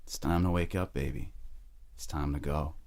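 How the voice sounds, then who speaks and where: Guy doing a demon lover rock singer, super deep, bossy and seductive, creepy audio drama stuff. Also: steady tone, normal speed, darkish tone. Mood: seductive menace.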